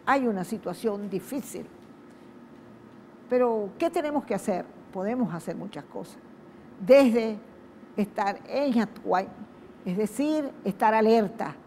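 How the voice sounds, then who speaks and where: Venezuelan woman hosting, slow solemn intro, kinda emphatic, bit of noise behind. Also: mid-range voice, expressive, darkish tone. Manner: solemn, emphatic, repetitive phrasing. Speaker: TV program host. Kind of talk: speech-like program introduction. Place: outdoors at a public event.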